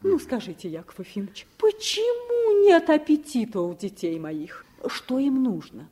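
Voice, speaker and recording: A well-off, doting mother in a radio play. Her high voice swoops around as she frets and fusses plaintively. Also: very animated, moderate pace, warm tone. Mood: fussy, plaintive. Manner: plaintive, fussy, cooing diminutives. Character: fretful, doting, well-off merchant wife, anxious mother. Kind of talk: Soviet radio drama acting.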